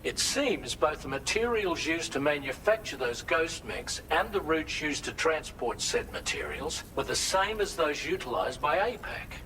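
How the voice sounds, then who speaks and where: An actor playing an earnest, formal male officer giving a measured, precise briefing in a video game. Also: a little high, very animated, bright, forceful tone, recorded from a distance, slight background noise, full character voice, low-quality recording. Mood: grave, businesslike. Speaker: mission commander in a game.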